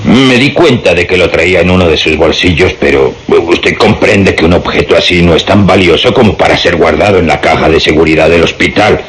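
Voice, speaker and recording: Un actor interpretando a un médico cortés e inseguro, de hablar titubeante, en una radionovela. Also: male voice, sits low, a little hiss or hum, natural voice. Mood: hesitant, uneasy. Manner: hesitant, halting, polite. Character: hesitant, courteous male doctor, uneasy under questioning. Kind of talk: radio drama acting.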